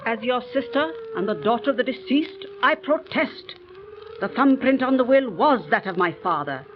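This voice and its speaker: An actress playing a solemn, pleading, superstitious daughter in melodramatic 1930s radio style. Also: female voice, fairly high pitch, expressive, moderate pace, lightly acted. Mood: solemn protest. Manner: melodramatic, declamatory. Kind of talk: 1930s radio drama acting. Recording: dull, hissy 1930s radio recording.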